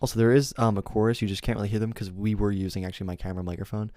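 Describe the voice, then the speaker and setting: A man's voice: on the low side, steady tone, very fast, dark tone, faint music behind. A young man streaming, chatting casually and rambling, often correcting himself and laughing.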